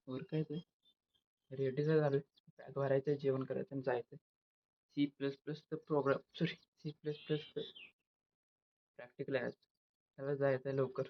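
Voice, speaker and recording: A young man with a rural western Maharashtra accent chatting casually for a daily vlog, rambling and correcting himself as he goes. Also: mid-range voice. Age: young adult. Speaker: vlogger. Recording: dull lo-fi phone sound, background noise.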